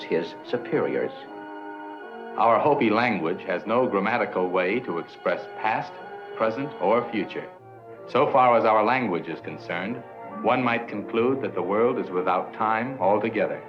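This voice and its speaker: Low, grave, dignified man acted. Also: natural voice. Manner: formal, measured. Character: grave, dignified men speaking for their peoples. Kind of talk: 1950s film voice-over acting. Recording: dull vintage film track, music behind.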